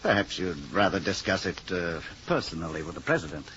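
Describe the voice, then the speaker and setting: An actor playing a polite, uneasy presidential secretary in an old radio drama, diplomatic and hesitant. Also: male voice, low voice, sing-song, fairly quick, a bit bright. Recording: muffled, noisy old radio recording.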